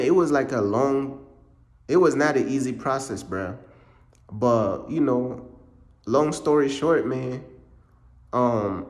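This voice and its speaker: A young man from Uganda telling his life story in a casual, rambling way, calling the listener "bro" over and over. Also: mid-range voice. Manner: laid-back, rambling, says "bro" constantly. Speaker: faith vlogger. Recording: echoey room.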